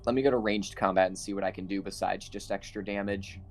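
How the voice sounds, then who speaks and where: Male tabletop player, lowish voice, hesitant casual delivery, thinking aloud. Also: fairly even intonation, quick pace, slight background noise. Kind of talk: casual game chat.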